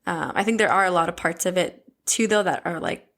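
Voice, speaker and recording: A woman's voice: on the low side, fairly quick, creaky, a bit bright. A woman from the United States co-hosting a podcast, talking earnestly with many fillers. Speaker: podcast co-host. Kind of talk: casual chat.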